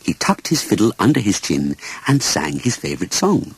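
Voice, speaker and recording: A man narrating a children's story as an expressive audiobook reader. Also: lowish voice, normal speed.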